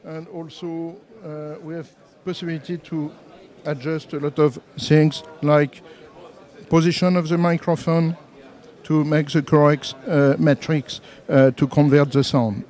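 A middle-aged man, talking into a handheld mic at a trade show booth and explaining products with many halting "uh" pauses. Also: a little high, flat delivery, a little mellow. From France. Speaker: company representative demoing products. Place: trade show booth, indoors, busy hall. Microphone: handheld microphone.

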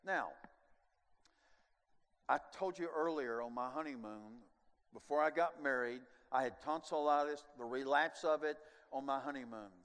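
An older man preaching a sermon as pastor on a large church stage, animated and moving, dropping at times to quiet asides. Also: mid-range voice, normal speed, smooth. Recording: long church hall reverb.

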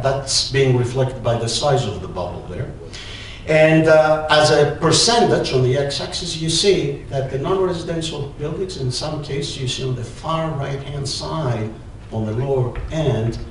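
A middle-aged man with a Greek accent giving a conference talk at a lectern, often pausing with "uh". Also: mid-range voice. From Greece. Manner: hesitant, many filler "uh"s. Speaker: conference presenter. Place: large lecture hall, at a lectern. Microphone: lectern mic, filmed by a far camera. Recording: very echoey hall, distant mic, faint hiss.

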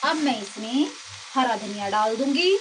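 A woman's voice: on the high side, unhurried. Woman narrating cooking over sizzling pan. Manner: plain, steady explaining of each step. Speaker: cooking channel host. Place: home kitchen. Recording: clear kitchen cooking noise. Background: sizzling and stirring in a pan.

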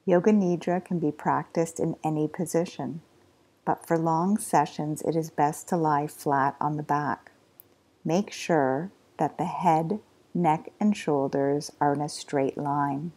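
A woman teaching a guided yoga nidra relaxation, giving slow, soft body instructions with long pauses. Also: low voice, fairly even intonation. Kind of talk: guided meditation.

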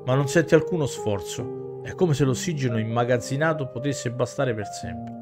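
Male game streamer, thirties, theatrical narrative reading, mid pitch, clear musical backing. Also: animated, normal speed, loud music behind the voice. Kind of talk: dramatic reading aloud of a visual novel with asides to chat.

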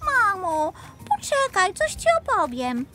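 A kind little girl of five, acted by a woman, with a high voice and eager manner. It sounds like a children's audio tale with music playing behind. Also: very animated, slow, pushed and bright, heavily acted. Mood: pleading. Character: kind, eager five-year-old girl with magic wand.

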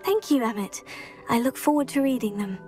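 Game heroine: female actor as obliging, calm teen witch, lightly high voice, clear music bed. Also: fairly quick, lightly acted. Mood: grateful. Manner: composed, polite, reassuring. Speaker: player character in a fantasy video game. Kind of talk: scripted game dialogue. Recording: prominent game music behind the voice.

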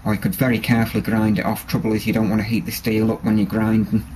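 A man casually showing a small knife in his workshop, relaxed and hesitant, with many ums and restarts, recorded on the camera's mic. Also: fairly low pitch, fast, noisy background. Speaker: bushcraft hobbyist showing a knife. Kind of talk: casual show-and-tell. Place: workshop with a grinder and workbench.

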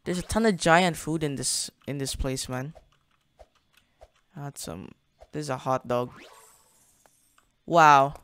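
A man's voice: a little high, takes it slowly, pauses often, fairly bright tone, clear, resonant tone. A young man hosting a gaming channel, casually narrating his play in a chatty, slangy way, thinking aloud as he goes. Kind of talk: casual gameplay commentary.

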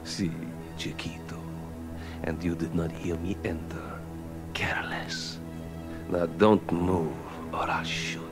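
A cold, threatening villain speaking slowly in a very low voice. It is a deliberate radio drama performance under loud music. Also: male voice, level tone, whispered. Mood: quiet menace. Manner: slow, deliberate. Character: menacing, cold male Quechua villain with a pistol.

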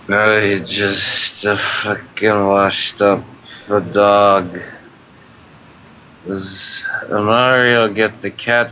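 A man's voice: fairly low pitch, pushed and bright, slight background noise, full character voice. A man sleep-talking as a washed-up, self-pitying plumber, with a put-on Italian accent, slurred and rambling, over a dull lo-fi call recording. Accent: mock Italian.

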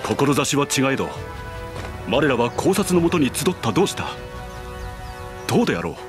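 An actor playing a noble, humble young warrior in a video game, speaking earnestly and formally. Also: male voice, mid-range voice, very animated, normal speed, over music, close to an everyday voice. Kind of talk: video game cutscene voice acting.

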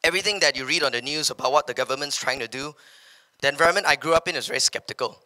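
A young Malaysian man with a Malaysian English accent, giving an energetic motivational talk on stage in a large hall through a handheld mic. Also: fairly high pitch, very fast. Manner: energetic, paces the stage, slips into local slang when quoting others. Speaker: keynote speaker. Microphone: handheld microphone.

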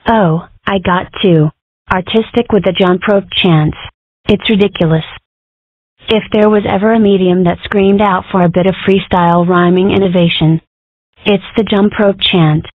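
A woman's voice: medium pitch, fairly even intonation, moderate pace, no room sound, natural voice. A defiant, guilt-ridden young fox girl played by a woman in a comic strip cartoon. The sound is thin and phone-like, very dry with no room around it. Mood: defensive.

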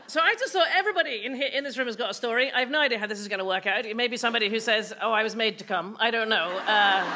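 An older woman hosting an awards lunch from a lectern in a large hall, playful and quick to joke. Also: on the high side, pushed and bright. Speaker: awards host. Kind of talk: lively event hosting with jokes. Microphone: lectern microphone. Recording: hall with crowd chatter.

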